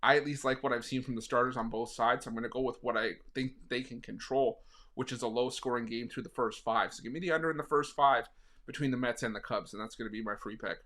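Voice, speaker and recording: A hoarse, slightly raspy man talking casually through a sports betting pick, with plenty of fillers. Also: medium pitch, steady tone, fast, a bit bright. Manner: conversational, frequent fillers.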